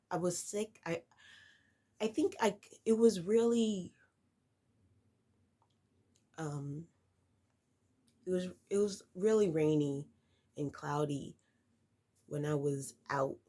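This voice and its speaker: Woman vlogger with a head cold: nasal and congested, unhurried, meandering chat full of ums. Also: sits low, dark tone. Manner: rambling, with many fillers and restarts. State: stuffy nose from a cold. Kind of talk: casual talk to camera.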